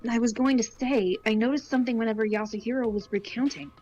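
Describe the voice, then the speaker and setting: A woman's voice: on the high side, steady tone, quick pace, a little mellow, soft background music. Dull-sounding woman in casual commentary. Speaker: let's play co-commentator. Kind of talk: casual game commentary chat.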